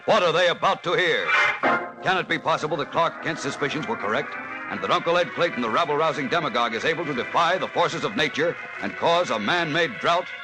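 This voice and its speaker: A man's voice: fairly high pitch, expressive, brisk, loud music behind the voice, low-quality recording. An American man narrating a 1940s radio serial in a suspenseful, emphatic, theatrical style.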